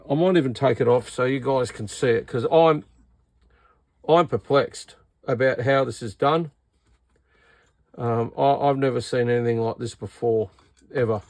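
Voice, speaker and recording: Australian man, medium pitch, informal radio tinkerer, exasperated and disbelieving, casual demonstration, dry room sound. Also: a little mellow, no echo. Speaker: radio repair vlogger and seller.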